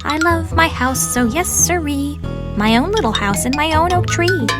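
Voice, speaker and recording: A woman voicing a gentle, house-proud little female squirrel in a children's storybook, sweet, homely and exclamatory. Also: high-pitched, sing-song, moderate pace, over loud music, pitched above the performer's own voice. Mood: happy singing.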